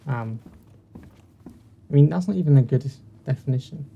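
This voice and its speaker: Gaming host: southern English male, middle pitch, fry-heavy, chatty and self-deprecating, slightly noisy mic. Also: a little slow, dark tone, highs cut off. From southern England. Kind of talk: casual let's play commentary. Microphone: poor-quality mic with uneven levels. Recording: poor mic with faint noise.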